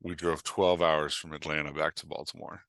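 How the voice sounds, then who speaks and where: A man's voice: rumbling low, little pitch movement, moderate pace, fairly bright tone, no echo, studio quality. A middle-aged man chatting casually as a podcast guest, informal and jokey, rambling as he goes. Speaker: podcast guest, author and writing coach. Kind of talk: casual chat.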